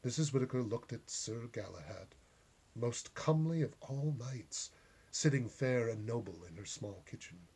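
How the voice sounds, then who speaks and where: Very husky, expressive male storyteller. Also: medium pitch, normal speed. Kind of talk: expressive short story reading.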